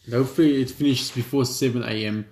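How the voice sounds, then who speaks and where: A man's voice: medium pitch, fairly even intonation, fast, a little mellow, echoey room. A man who hosts a gaming channel, reading a visual novel's text aloud and chatting between passages, casual and stumbling, with the odd offhand swear.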